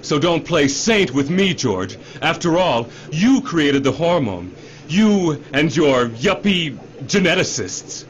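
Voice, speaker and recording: A man's voice: on the high side, big pitch swings, takes it slowly. Actor as a glib, swooping male executive. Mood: angry, defiant. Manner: overfamiliar, salesman-like cajoling, repeats himself. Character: glib middle-aged male executive, defensive and self-justifying. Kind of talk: radio drama acting. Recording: dull radio drama recording, faint noise.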